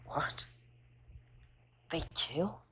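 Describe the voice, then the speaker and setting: A woman's voice: drawn-out words, bright, forceful tone, in a whisper. A woman playing an earnest, brooding teenage schoolboy wizard in an audio drama, blunt and a little hesitant. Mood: curious, shocked. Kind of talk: fan audio drama acting.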